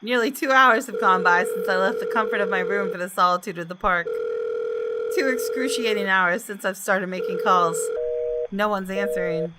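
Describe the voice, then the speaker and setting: A woman's voice: medium pitch, moderate pace, very noisy. A woman doing a video game let's play, reading narration aloud dramatically and reacting with jumpy exclamations. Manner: dramatic, expressive, jumpy exclamations between read lines. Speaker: gaming YouTuber. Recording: background music under voice. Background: game music.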